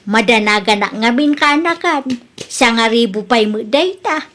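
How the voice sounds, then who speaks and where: A woman's voice: fairly high pitch, expressive, measured pace, a bit bright. A woman playing a suspicious, insistent middle-aged village aunt in a comedy radio drama, with a nagging, scolding manner. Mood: worried.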